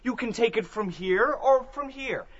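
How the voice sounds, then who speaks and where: A man's voice: very high-pitched, animated, a little slow, full character voice. A man playing a hearty, chatty, colloquial Irishman given to cursing, in an audiobook drama. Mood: genial, chatty. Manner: exclamatory, colloquial, obliging. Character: Ned Lambert, hearty, chatty, cursing Dublin man with a cold. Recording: dull roomy home recording.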